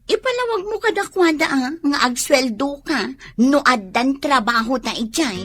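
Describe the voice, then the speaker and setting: A woman's voice: high-pitched, expressive, moderate pace, a bit bright. A woman with an Ilocano accent playing a stubborn, eager young country daughter in a radio drama, whiny and insistent.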